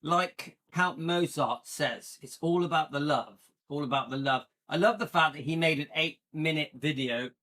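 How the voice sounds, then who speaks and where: Chatty middle-aged man, bright voice. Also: normal speed, few pauses. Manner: chatty and rambling, jumps into a higher playful pitch when joking. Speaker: YouTube channel host. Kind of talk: casual live-stream chat reading viewer comments.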